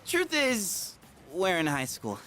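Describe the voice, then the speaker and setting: An actor voicing an eager, cheeky teenage schoolboy in a video game, excitable and glib. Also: male voice, sits high, takes it slowly, faint music behind, exaggerated voice. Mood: eager, flattering. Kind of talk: English video game voice acting.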